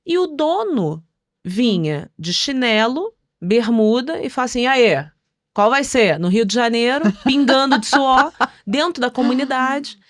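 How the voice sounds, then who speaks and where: A middle-aged Brazilian woman telling stories conversationally as an interview guest, articulate and expressive, acting out quotes as she goes. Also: fairly high pitch, measured pace, no room sound, clean studio sound. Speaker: podcast guest.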